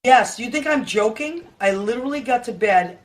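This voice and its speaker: A hoarse woman in her fifties hosting a casual live chat from home, rambling and tired, talking into a webcam. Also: fairly high pitch, lively intonation, moderate pace. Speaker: livestream host. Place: home room with Christmas tree.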